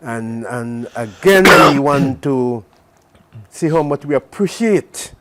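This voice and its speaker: An older man with a Vincentian accent, from St. Vincent, a community association president speaking warmly and graciously as an interview guest. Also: mid-range voice, animated, slow pace, heavy background noise. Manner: warm, gracious, thankful.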